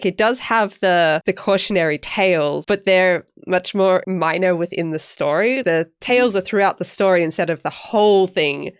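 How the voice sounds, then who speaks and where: A woman's voice: on the low side, clean tone, no echo, clean studio sound. A woman hosting a book discussion, talking casually as she chats about reading. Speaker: host of a book discussion video.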